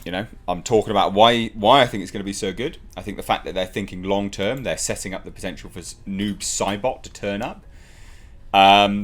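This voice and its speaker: A man with a low voice delivers casual film commentary as an online reviewer. His pitch moves widely as he stresses and repeats his points with enthusiasm. Faint music plays behind him. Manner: enthusiastic, emphatic, repeats phrases for emphasis. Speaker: YouTube film news reviewer. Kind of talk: casual movie news commentary.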